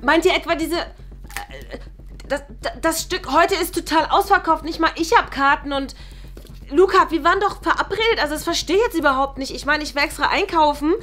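A young woman playing a casual, slightly awkward flatmate who talks in a chatty, hedging way. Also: sits high, a little fast. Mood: indignant. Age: young adult. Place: indoor flat.

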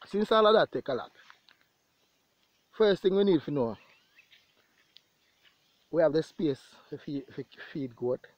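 A man's voice: a little high, lively intonation, measured pace, pauses often, dry recording. A man with a Jamaican accent talking casually to viewers as a farmer vlogging outdoors, repeating phrases for emphasis. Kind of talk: casual vlog talk to viewers. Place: outdoors in an open grassy pasture.

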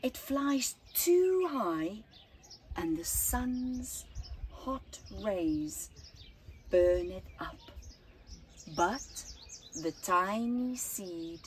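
A middle-aged woman reading a children's picture book aloud outdoors, phrasing deliberately with dramatic emphasis and hushed words. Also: medium pitch, animated, a little hiss or hum. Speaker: storytime reader. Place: outdoors at a flower farm. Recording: outdoor ambience with birdsong.

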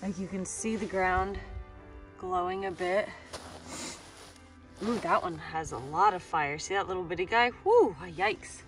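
A woman's voice: fairly low pitch, big pitch swings, measured pace, pauses often, fairly bright tone, faint music behind. A woman in her thirties with an American accent, talking in an easygoing, colloquial way in a casual outdoor vlog. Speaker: rock-hunting vlogger. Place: outdoors on a pebbly beach.

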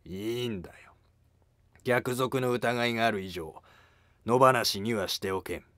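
A man playing a scheming, calculating court noble of ancient Japan, speaking formally in a historical animated drama. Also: medium pitch, unhurried, no room sound, lightly acted. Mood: sly, commanding.

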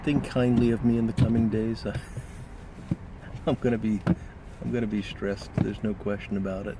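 A man's voice: lowish voice, measured pace. A man in his fifties chatting casually to camera while driving, rambling with lots of fillers. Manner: rambling, relaxed, frequent fillers. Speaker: vlogger. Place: inside a car, driving. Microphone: camera on the dashboard. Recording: loud car and road noise.

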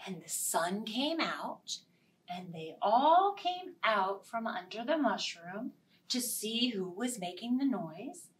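A woman storyteller with a highish, very animated voice tells a children's tale. She takes her time and drops into hushed phrases, recorded a little way from the microphone. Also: recorded from a distance, rough recording. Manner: slow, expressive, with hushed phrases. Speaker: children's library storytime host. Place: small indoor storytime set.

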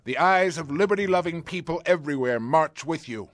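An actor playing a calm, stern American army general giving measured, solemn speeches in a game cutscene. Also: male voice, fairly high pitch, no echo, close to an everyday voice. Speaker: supreme commander. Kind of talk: video game cutscene acting. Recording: over background music.

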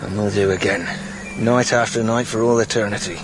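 A man playing a bitter, merciless ghostly highwayman in a radio drama, with archaic, threatening speech. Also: fairly even intonation, fairly quick, background noise, lightly acted. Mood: grimly resigned.

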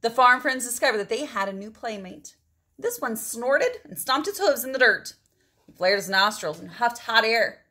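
A woman's voice: on the high side, expressive, bright, forceful tone. Woman reading a picture book aloud expressively. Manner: dramatic phrasing with drawn-out words for emphasis. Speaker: storybook reader.

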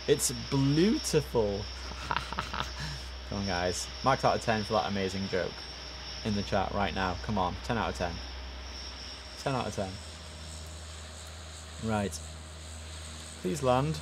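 A young man talking from his desk through a headset, chatty and easygoing with viewers, switching into clipped tower radio phrasing. His voice sits a little low, and there is a steady noise floor behind him. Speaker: streamer playing air traffic control. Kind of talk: casual gameplay commentary with radio calls. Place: home room at a desk.

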